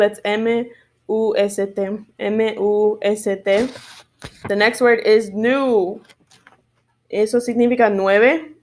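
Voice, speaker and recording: A woman gives a bilingual spelling lesson at a very slow, deliberate pace. She switches between Spanish and English and spells each word out letter by letter. Her pitch sits in a middle range. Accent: Spanish-speaking learner of English. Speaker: teacher of English sight words.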